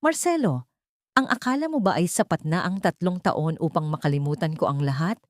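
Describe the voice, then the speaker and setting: Audio drama heiress, played by a female narrator: icy, composed, vengeful, lowish voice, swinging intonation, close dry sound. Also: a little fast, no echo, natural voice. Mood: bitter reproach. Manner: gentle but cutting, calm and authoritative. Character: wronged heiress, cold, composed, quietly vengeful adult woman. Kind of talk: dramatic audio story acting.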